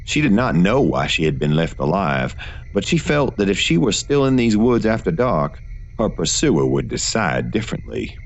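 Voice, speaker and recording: A man reading scripted gothic horror fiction as a narrator, in a measured, literary storytelling manner. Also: deep voice, sing-song, dull audio, slight background noise.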